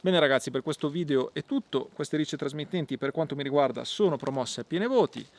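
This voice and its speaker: A man hosting an outdoor gear review, explaining casually to viewers with halting pauses and repeated words. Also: on the high side. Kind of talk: casual product demonstration to viewers.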